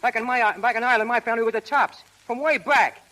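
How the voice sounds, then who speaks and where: An actor playing a brash, hot-tempered but lovestruck prizefight manager, talking in rough street slang in 1930s radio style. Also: male voice, very high-pitched, quick pace, pushed and bright. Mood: indignant. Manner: tough, slangy, ungrammatical street talk. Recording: old 1930s radio broadcast recording.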